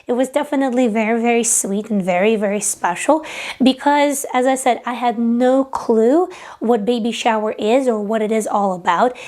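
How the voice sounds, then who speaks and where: High-voiced young woman from Latvia. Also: fairly even intonation, moderate pace, darkish tone. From Latvia, Eastern Europe. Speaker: sewing vlogger. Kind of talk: casual personal vlog.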